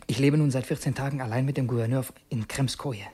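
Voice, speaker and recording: An actor playing a cunning infiltrator posing as a loyal secretary, in a measured, formal, husky radio drama style. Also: male voice, medium pitch, fairly even intonation, dark tone, close to an everyday voice. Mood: cold, menacing. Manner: measured, brooding diary narration, formally courteous to employers. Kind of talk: German radio drama acting.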